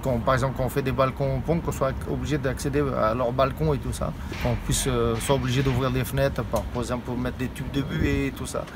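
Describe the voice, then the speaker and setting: A man from France who works as a roofer, talking casually in an interview, halting with many fillers and laughing easily. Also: mid-range voice, flat delivery, noisy background. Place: Paris rooftops outdoors.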